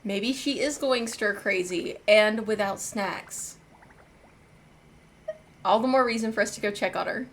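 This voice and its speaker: A woman's voice: mid-range voice, a little slow, pauses often, fairly bright tone. A woman streaming a game, giving casual, playful, chatty commentary as she reacts to the story, with game music behind her.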